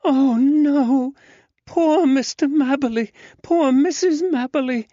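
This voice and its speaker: Male reader doing a distraught young gentlewoman, high and breathy, storybook read, dry close sound. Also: takes it slowly, no room sound. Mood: distressed. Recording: dull lo-fi, dry.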